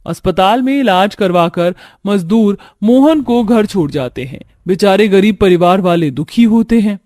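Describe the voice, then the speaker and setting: A man's voice: high voice, lively intonation, unhurried, darkish tone, no echo, clean studio sound. A man reading scripted narration for a moral story, in the role of storyteller.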